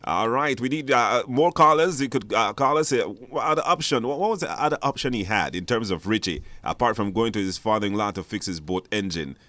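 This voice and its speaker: A man's voice: fairly low pitch, animated, fairly quick, hardly any pauses, bright, forceful tone, no room sound. A man hosting a radio call-in show in an informal, encouraging, conversational way. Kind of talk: casual live call-in hosting.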